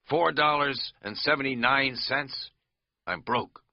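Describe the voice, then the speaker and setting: A man voicing a boisterous, jokey middle-aged hiking companion in a loud party voice. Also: mid-range voice, takes it slowly, no echo, muffled recording, voice pushed up. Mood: mock solemn.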